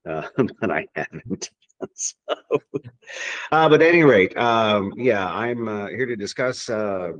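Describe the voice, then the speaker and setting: A man's voice: lowish voice, lively intonation, takes it slowly, poor audio. A man from Tennessee, a podcast guest talking in a rambling, hesitant way, full of restarts and fillers. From Tennessee, USA. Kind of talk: casual podcast chat.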